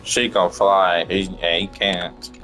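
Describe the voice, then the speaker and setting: A man's voice: deep voice, little pitch movement, slow pace, sharp tone, soft background music. A man giving casual, colloquial, laid-back reaction commentary as he follows a game's story.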